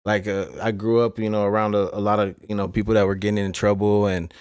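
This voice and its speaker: A man's voice: on the low side, little pitch movement, fast talker, a little mellow. A man hosting a podcast interview, talking with enthusiasm and stumbling over his words with lots of fillers. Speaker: podcast host.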